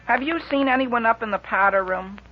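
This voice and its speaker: A man's voice: very high voice, fairly quick, soft background music. Earnest teenage boy, muffled old radio. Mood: polite, uneasy. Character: earnest, stubborn, easily flustered teenage boy. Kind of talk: 1940s radio comedy acting. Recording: muffled, hissy 1940s radio recording.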